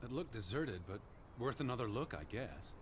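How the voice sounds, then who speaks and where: An actor playing a cautious, earnest American gentleman naturalist of the 1920s in a video game, proper and reluctant in manner. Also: mid-range voice, animated, moderate pace, a little hiss or hum, lightly acted. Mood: dry, doubtful.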